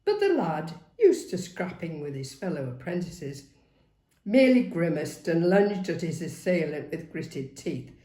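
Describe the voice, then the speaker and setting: A woman reading a novel aloud as dramatic, expressive narration. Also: fairly low pitch, measured pace. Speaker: story reader. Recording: roomy, echoing sound.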